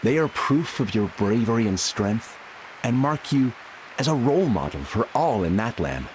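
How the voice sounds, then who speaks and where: A man's voice: mid-range voice, big pitch swings, moderate pace, background noise, lightly acted. A man playing a proud, dignified ceremonial figure in a game, speaking in a formal, declamatory way. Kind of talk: video game cutscene acting.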